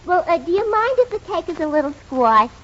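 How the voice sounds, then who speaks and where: A brash, man-hungry bakery clerk in a 1940s radio comedy. Her voice is extremely high and swoops around as she delivers deadpan wisecracks. The old broadcast sounds muffled. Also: brisk, exaggerated voice. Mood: chatty, hinting. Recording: vintage 1940s radio, muffled, faint noise.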